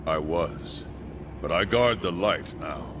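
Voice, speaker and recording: A loyal angel warrior in a video game, formal and earnest in every line. His voice sits low and steady. A clear bed of noise runs behind him. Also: takes it slowly. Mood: solemn. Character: loyal male angel warrior, solemn soldier. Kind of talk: video game cutscene acting.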